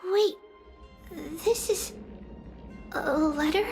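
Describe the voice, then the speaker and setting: Tiny high-pitched little girl. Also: drawn-out words, darkish tone, exaggerated voice. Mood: earnest, wondering. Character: small chirpy little girl, earnest and curious. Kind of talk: English game dub acting. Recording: reverberant game audio with background music.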